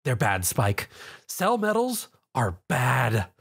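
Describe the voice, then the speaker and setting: A man's voice: medium pitch, huge pitch swings, slow pace, creaky, a bit bright, breathy edge, heavily acted. A man voicing a wary, casual young male hero with a dry manner in a story. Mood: uneasy.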